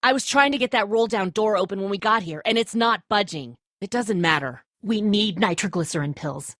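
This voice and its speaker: A woman's voice: medium pitch, brisk, fairly bright tone, no room sound, studio quality, natural voice. An actress playing a tough, stressed, protective woman who leads zombie-apocalypse survivors in a dramatic video game, speaking bluntly and with urgency. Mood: urgent, commanding.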